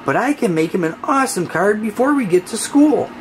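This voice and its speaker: Reader doing a little boy cat from a storybook, earnest n worried, kinda exclaimy, bouncy pitch. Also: animated, fairly quick, darkish tone, a little hiss or hum, natural voice, rough recording. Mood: fretful. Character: young male cartoon cat, earnest, easily worried.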